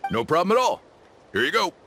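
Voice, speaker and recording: A man's voice: high-pitched, big pitch swings, quick pace, bright, forceful tone, a little hiss or hum, full character voice. A man playing a shady, self-important tourist scammer in a video game, with a theatrical salesman's manner. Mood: slick, salesy. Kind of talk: video game character dialogue. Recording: music behind the dialogue. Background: game music.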